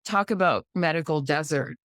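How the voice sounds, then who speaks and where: A woman's voice: deep voice, normal speed, fairly bright tone, no room sound, clean studio sound. A middle-aged American woman talking emphatically in a conversational interview, often pressing points with repetition and rhetorical questions. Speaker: podcast guest, pediatrician.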